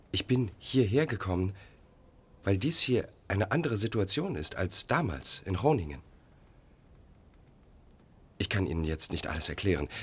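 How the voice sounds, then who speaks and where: An actor in a German radio play playing an obsessed, insistent, formally spoken man with a husky voice. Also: deep voice, full character voice. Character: obsessed, tightly wound male visitor, insistent and volatile.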